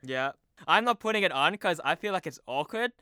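A young man talks casually as an interview guest, with a bright, forward voice that is exceptionally clean and smooth. The sound is dry and close, and his manner is informal and a little self-deprecating. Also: on the high side, animated, a little fast, no echo. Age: young adult. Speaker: podcast guest, TV promo producer. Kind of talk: casual chat. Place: remote video call.

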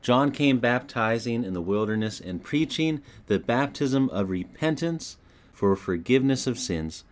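A man with a mid-range voice narrates scripture in the style of an audiobook. He reads from a script with expressive, storytelling phrasing, giving quoted speech some dramatic shape. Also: medium pitch, measured pace.

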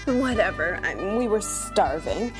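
A woman's voice: mid-range voice, sing-song, a little slow, music in the background. A woman performing a dramatic comic reading as an exasperated, snarky young female restaurant reviewer, theatrical and emphatic. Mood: sardonic. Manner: melodramatic, sardonic, emphatic.

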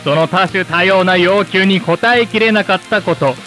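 Casting: man for solemn broadcast announcer in a radio drama, high clear-edged forceful tone, light music behind. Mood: formal, earnest. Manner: formal, solemn, measured wording. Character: earnest, formal television presenter nostalgic for Showa.

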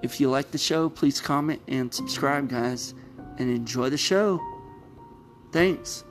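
A man hosting an old-time radio podcast, summarizing a plot casually, often stopping to correct himself. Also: mid-range voice. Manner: halting, with frequent self-corrections. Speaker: podcast host. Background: music.

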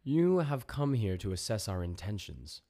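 Man voicing a slow, imperious alien hive voice. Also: low voice, darkish tone, close to an everyday voice. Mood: cold, formal. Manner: slow, formal, declarative. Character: disembodied alien hive voice, cold, formal, imperious.

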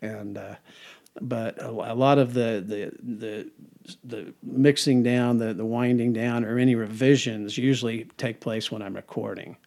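An older man talks through his songwriting habits in a loose, meandering way. He restarts phrases, repeats words and laughs softly to himself. His voice sits at an ordinary middle pitch. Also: steady tone, measured pace, creaky. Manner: rambling, repeats words, chuckles often. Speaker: singer-songwriter guest. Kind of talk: casual interview answers.

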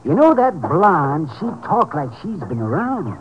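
An actor playing a chatty, nosy older American motel owner in a 1950s radio drama, rambling in a folksy, hesitant way. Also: male voice, on the high side, big pitch swings, measured pace. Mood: chatty, eager. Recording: muffled, noisy 1950s radio recording.

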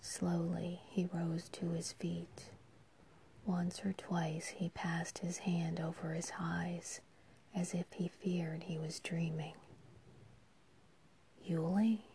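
A woman reads a story entirely in a hushed whisper. Her delivery is unhurried and low, with a flat, even line. A faint background hum sits under the voice. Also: gravelly. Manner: soft, hushed whisper throughout. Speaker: narrator. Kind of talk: whispered audiobook reading.

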